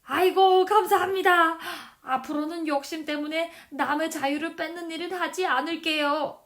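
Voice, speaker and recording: Woman acting loud, high-pitched greedy storybook man. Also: fairly even intonation, moderate pace. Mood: pleading, remorseful. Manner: loud, exclamatory, wailing pleas. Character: greedy, blustering man who panics and begs. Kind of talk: children's storybook character acting.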